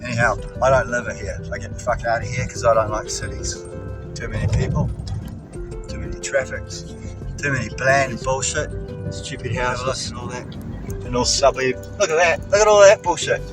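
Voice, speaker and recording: A man with a New Zealand accent talks in a loose, rambling way from inside a moving car. He is blunt and sweary, and his voice sits in a middling range. He is a little off the mic, and loud music plays clearly behind him. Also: medium pitch, moderate pace, recorded from a distance. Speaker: vlogger. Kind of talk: rambling driving vlog chat.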